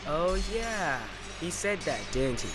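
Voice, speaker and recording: A man's voice: on the high side, very animated, slow pace. A man playing a naive, slightly clueless companion in an amateur audio drama. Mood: naive, sheepish. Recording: echoey hall with music.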